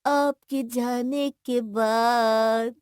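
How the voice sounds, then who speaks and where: Woman as bride's little brother, fairly high voice, cheeky, clingy, whiny, audio drama. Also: moderate pace, no room sound, clean studio sound, natural voice. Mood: tearful.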